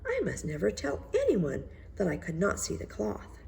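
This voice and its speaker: A woman's voice: mid-range voice, wildly swooping pitch, creaky, darkish tone, a little hiss or hum, pitched above the performer's own voice, heavily acted. A woman voicing an anxious, flustered old male minister in a storybook, gushing and eager to please. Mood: worried. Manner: gushing, hurried praise over private worry.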